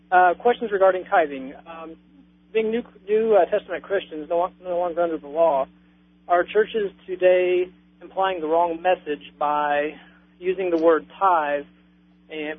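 Earnest male radio caller, high voice, halting delivery, dry phone-line sound. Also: no echo. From Louisville, Kentucky.

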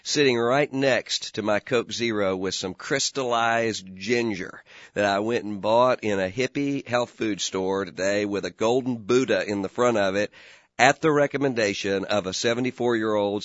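A male talk radio host with a hoarse, gravelly voice, pitched on the low side. He speaks earnestly and gives his views, now and then stumbling over the first word of a sentence. The sound is dry and close, a little dull. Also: moderate pace, a bit bright, dry recording. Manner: earnest, opinionated, repeats words when starting. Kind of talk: political talk radio commentary.

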